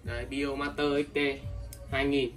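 A man selling used fishing reels in a casual, repetitive sales talk, filling pauses with ums and calling his buyers brothers. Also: medium pitch, flat delivery. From Vietnam. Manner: repetitive, many fillers, addresses buyers as brothers. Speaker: fishing tackle seller. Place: indoors, table of fishing reels. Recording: distant mic, music playing behind.

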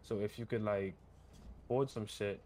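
A young man talking casually in street slang in an online game roleplay, halting and repeating words. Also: fairly low pitch, steady tone, mellow. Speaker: player in a GTA roleplay server. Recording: background noise on game voice chat.

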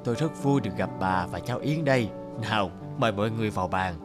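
A man's voice: on the low side, quick pace, over music, close to an everyday voice. A man voicing a courteous, kindly middle-aged company director in a cartoon. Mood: welcoming. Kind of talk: animated cartoon dubbing.

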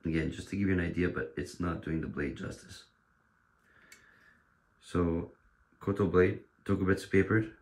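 A man with a hoarse, fading voice, casually showing off a sword with frequent pauses and restarts. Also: deep voice, steady tone. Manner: halting, with many fillers and restarts. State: hoarse, losing his voice. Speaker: sword dealer presenting a katana. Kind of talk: casual show-and-tell.

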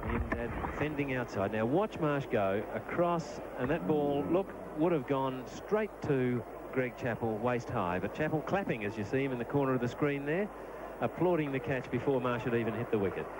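A man's voice: mid-range voice, animated, normal speed. A man explaining cricket replays in a conversational, opinionated way as a summariser. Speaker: cricket commentator, expert summariser. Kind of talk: replay analysis commentary. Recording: noisy old broadcast tape.

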